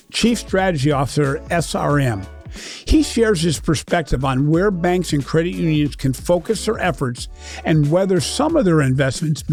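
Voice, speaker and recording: A man hosting a podcast in a lively, animated voice that swings up and down as he stresses figures. His tone has a gravelly edge and sits a little high for a man. Faint music plays underneath. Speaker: podcast host. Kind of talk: scripted podcast intro and interview questions.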